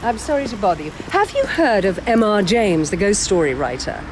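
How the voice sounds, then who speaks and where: An actress playing a brusque, sarcastic feminist documentary film-maker in a naturalistic radio drama. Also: female voice, mid-range voice, very animated, brisk, noisy background, natural voice. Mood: brisk, impatient. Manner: sarcastic, bossy.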